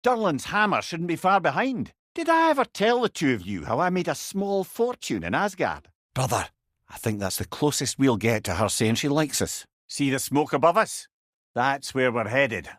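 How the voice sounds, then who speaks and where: A man's voice: medium pitch, sing-song, moderate pace, a bit bright, no echo, clean studio sound. A man playing a wise, wry old advisor in a video game, a chatty and learned storyteller with a Scottish accent. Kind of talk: video game character dialogue.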